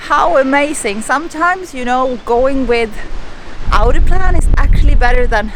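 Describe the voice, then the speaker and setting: A woman's voice: high-pitched, measured pace. A young Swedish woman, not a native English speaker, hosting a casual travel vlog outdoors by the sea in the wind, chatty and upbeat. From Sweden. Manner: chatty, upbeat, gets excited about views. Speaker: vlogger host. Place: outdoors by the sea, in windy weather.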